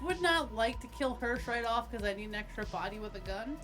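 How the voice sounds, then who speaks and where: High-pitched woman gaming over music. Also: expressive, a little fast. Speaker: player in a tabletop roleplaying game. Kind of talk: casual game talk.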